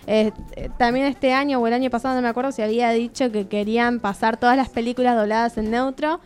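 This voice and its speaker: A woman with an Argentine Spanish accent on a casual radio panel, chiming in with quick agreements and examples. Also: fairly high pitch, fairly even intonation, darkish tone, smooth, soft background music.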